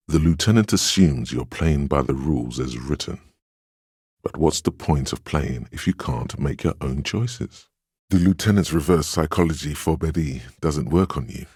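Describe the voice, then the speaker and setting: A man voicing a steadfast inner voice of willpower in a video game, a stern yet encouraging mentor with a measured, solemn delivery and a gravelly, husky tone. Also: rumbling low, a little mellow, no echo, studio quality, full character voice. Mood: solemn urging. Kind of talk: scripted game narration, second-person counsel.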